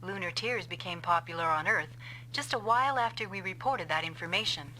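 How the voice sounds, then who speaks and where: An actress playing a vengeful female scientist in an anime-style game dub, explaining coldly and deliberately. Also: on the low side, sharp tone, a little hiss or hum, poor audio. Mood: grim, confessing. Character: vengeful female scientist confessing a revenge plot.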